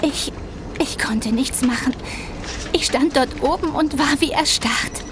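Anxious high-voiced young carer. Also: female voice, measured pace. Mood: distraught. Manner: hesitant, worried, earnest. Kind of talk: German audio drama acting. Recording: noisy ambience behind.

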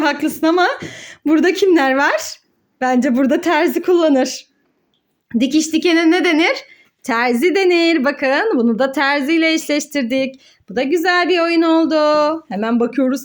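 Woman, very high voice, sing-song, child-directed teaching, exclamations, question-and-answer play narration. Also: normal speed. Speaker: mother hosting a children's educational play video.

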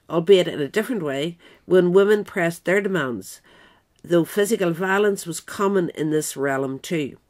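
A woman narrator reading a scripted political essay in a measured, written style. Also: on the low side.

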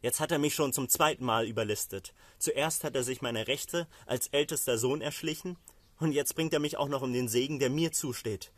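A wronged firstborn son , acted by a male narrator pleads with his father in a Bible storybook. He is bitter and indignant, at a medium pitch. The sound is dry and close. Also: moderate pace, dry recording, natural voice. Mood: bitter, pleading.

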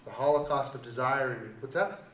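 A man's voice: medium pitch, steady tone, fairly quick, crowd noise. A middle-aged man giving a university poetry lecture, chatty, who keeps checking in with "right?" and reads a poem aloud. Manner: conversational, keeps asking "right?". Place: lecture hall. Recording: echoey hall from far off, faint hiss.

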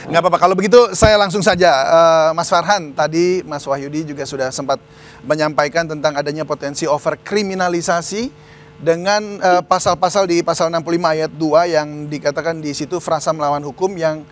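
A man's voice: fairly high pitch, fairly even intonation, fairly quick. A man hosting a live TV news dialogue, politely introducing guests and putting questions, with frequent "eh" fillers. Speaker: news anchor. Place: TV studio.

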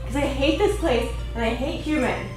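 A woman's voice: sits high, normal speed, darkish tone. Complaining young American woman, echoey room. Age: young adult. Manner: complaining, dry, reluctant. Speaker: reluctant vlog participant, host's sister. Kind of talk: casual chat. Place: indoor gym. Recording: echoey room with background music.

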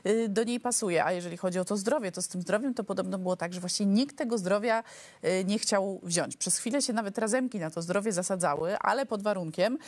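A woman's voice: mid-range voice, darkish tone, dry recording. A woman in her forties co-hosting a satirical politics show, talking ironically from a TV studio with a lapel mic and many fillers. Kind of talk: studio commentary chat.

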